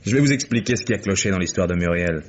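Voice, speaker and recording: An actor playing a world-weary, sardonic male private detective in a 1950s French radio mystery, speaking deliberately with dramatic pauses. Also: low voice, slight background noise, natural voice. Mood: sardonic, explanatory. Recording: muffled vintage radio drama recording.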